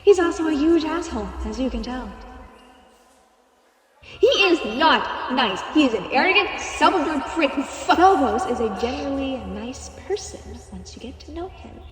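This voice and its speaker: Purgatory tour guide, played by a woman, audio roleplay, fairly high voice with echo and a reverb tail. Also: expressive, normal speed. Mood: soft, placating. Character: tour guide of purgatory, by turns snappy and soothing. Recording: roomy, echoing, reverberant sound.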